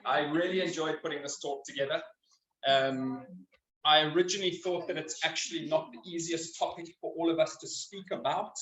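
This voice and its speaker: A man's voice: on the high side, a bit bright. A man with a South African accent giving a community talk as a health practitioner, chatty and earnest with frequent ums. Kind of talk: community talk to a live audience. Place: community hall. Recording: echoey hall, speaker far from the mic.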